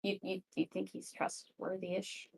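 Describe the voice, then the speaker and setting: A woman playing in a tabletop roleplay, chatting casually in and out of character in a creaky, somewhat hesitant voice. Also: medium pitch, monotone, unhurried, low-quality recording.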